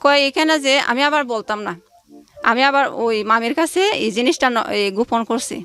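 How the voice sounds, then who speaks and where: Young woman, fairly high voice, informal personal storytelling, recounts reported dialogue. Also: fairly quick, a bit bright, smooth. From Bangladesh. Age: late 20s. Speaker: interview guest telling her life story. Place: radio studio.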